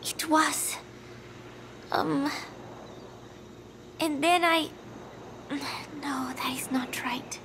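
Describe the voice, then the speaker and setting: An actress playing a frail, confused young woman in a video game, haunted and gentle, with halting, breathy speech that often drops toward a whisper. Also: high voice, steady tone, background noise, exaggerated voice. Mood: dazed. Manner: halting, hesitant, fragmented phrases. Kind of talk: dramatic video game cutscene acting.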